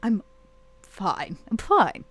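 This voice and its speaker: A woman roleplaying a proud, guarded, bitter vampire woman in a tabletop game, speaking curtly and deliberately with intense pauses. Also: mid-range voice, wildly swooping pitch, a bit bright, husky, heavily acted. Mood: bitter, defensive.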